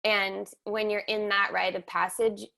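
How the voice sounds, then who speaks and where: A woman hosting a webinar, speaking in a slow, measured, reflective way over a video call. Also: medium pitch, little pitch movement, creaky, bright, forceful tone. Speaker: webinar host and somatic teacher. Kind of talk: reflective guided conversation.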